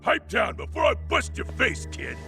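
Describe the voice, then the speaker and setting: An actor playing a bullying, short-tempered male street thug, gravelly and blustering, in a game dub. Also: very high-pitched, very animated, moderate pace, sharp tone, soft background music, heavily acted. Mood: threatening rage. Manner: threatening, blustering. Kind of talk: English dub game cutscene acting.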